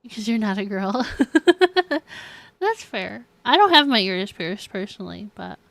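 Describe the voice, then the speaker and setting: A young woman livestreamer chatting casually with viewers in a halting, conversational, giggly way while playing a game. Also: medium pitch, big pitch swings, vocal fry, dry recording. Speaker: game streamer.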